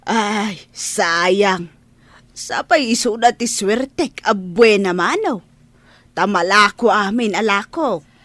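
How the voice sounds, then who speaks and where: A woman's voice: medium pitch, animated, slow pace, a bit bright, no room sound. A woman with a Filipino accent playing a polite, coaxing fish vendor in a radio drama.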